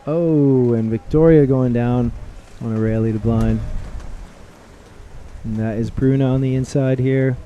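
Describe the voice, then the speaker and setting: A man doing live sports commentary, chatting and joking with his co-host in a relaxed way. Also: medium pitch, unhurried, lo-fi sound, a little hiss or hum.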